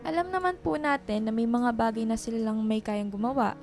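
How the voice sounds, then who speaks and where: A young woman played by an actress as a caring daughter in a radio drama, with a high voice over faint music. She is respectful, apologetic and a little pleading. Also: brisk, darkish tone, natural voice. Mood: apologetic, earnest. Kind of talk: Filipino radio drama acting.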